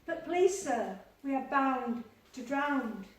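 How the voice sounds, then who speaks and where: A pair of small storybook animals plead earnestly for a place aboard, as a woman voices them. Their voice is pitched high and slow. It sounds distant, with a strong echo all around. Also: animated. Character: pleading platypus and anteater pair. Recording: distant voice in a very echoey room.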